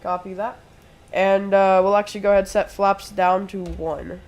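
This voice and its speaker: A man's voice: high voice, little pitch movement, normal speed, a little mellow, slight background noise. A congested young man, sick with a throat infection, streaming from home and chatting through each step of a flight simulator session at his desk mic. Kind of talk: casual live play-by-play.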